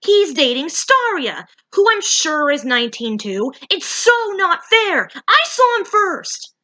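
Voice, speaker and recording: A woman's voice: very high-pitched, sing-song, unhurried, bright, forceful tone, voice pushed up, heavily acted. A woman voicing a brash, possessive teenage female fox fairy in a story, confident and snappy. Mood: snapping.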